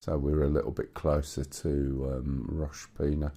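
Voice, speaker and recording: A man with a hoarse, rumbly voice, chatting casually as a livestream host while he thinks aloud over a map. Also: level tone, a little slow, mellow. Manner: muses aloud to himself while checking a map. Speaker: streamer. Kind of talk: casual gaming livestream chat.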